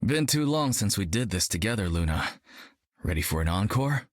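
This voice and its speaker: A man's voice: lowish voice, sing-song, moderate pace, dry recording, clean studio sound. A young actor playing a brash, cocky male martial artist in a video game, joking and upbeat. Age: young adult.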